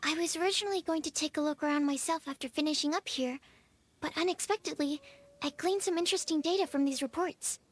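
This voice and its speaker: A woman's voice: sits high, fast talker, natural voice. An actress playing an inquisitive young female alchemist in a video game, earnest, thoughtful and polite. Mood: reflective. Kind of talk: video game cutscene acting.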